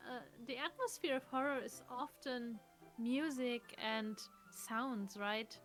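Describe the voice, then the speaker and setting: Female let's play co-host, slightly high voice, casual and thoughtful explainer, faint music behind. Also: slow pace, a bit bright. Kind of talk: casual chat.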